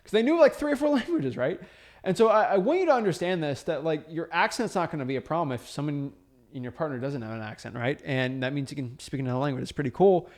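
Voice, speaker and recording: A young man coaching listeners on real estate wholesaling in an emphatic, energetic teaching talk, often checking in with "right?". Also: on the high side, quick pace. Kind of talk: motivational teaching talk to camera.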